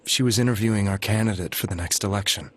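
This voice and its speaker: An actor playing an earnest, guilt-ridden delivery man in a video game, speaking in a halting, solemn, confessional way. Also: lowish voice, lightly acted. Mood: somber, reflective. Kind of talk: dramatic video game voice acting. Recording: music behind the voice.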